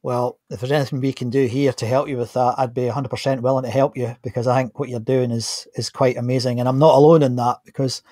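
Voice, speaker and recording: A man's voice: medium pitch, little pitch movement, fast talker, few pauses, dark tone, no room sound, clean studio sound. A man in his fifties hosting an online interview in an informal, appreciative, conversational way. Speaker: host of an online interview show. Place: small home studio, indoors. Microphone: close studio microphone on a stand.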